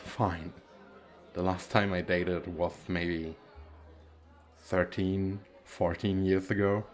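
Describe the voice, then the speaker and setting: A man playing a gentle, awkward single dad who flirts shyly and hesitantly in an ASMR roleplay. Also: low-pitched, level tone, takes it slowly. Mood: shy, amused. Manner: hesitant, self-deprecating, soft chuckles.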